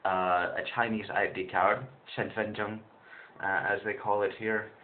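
A man's voice: lowish voice, flat delivery, unhurried. A young man in his twenties talking to his webcam in a small room, rambling with lots of fillers and restarts. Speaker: vlogger, English teacher in China. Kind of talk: casual webcam vlog. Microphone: webcam built-in mic, close. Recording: tinny lo-fi webcam audio with faint hiss.

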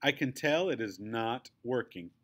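A man reading a children's story aloud in an animated, expressive storytelling style. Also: mid-range voice, unhurried. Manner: animated, acts out the dialogue.

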